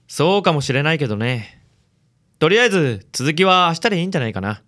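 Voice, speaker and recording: A man playing a calm, suave detective's associate in a radio drama, speaking in a measured, reflective way. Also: fairly high pitch. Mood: calm reassuring.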